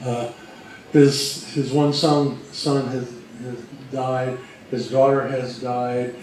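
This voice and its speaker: A man's voice: medium pitch, little pitch movement, slow pace, darkish tone. A man co-presenting as a researcher, talking casually to an audience. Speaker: co-presenter, old radio researcher. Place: roomy indoor presentation space. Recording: very echoey room, distant mic.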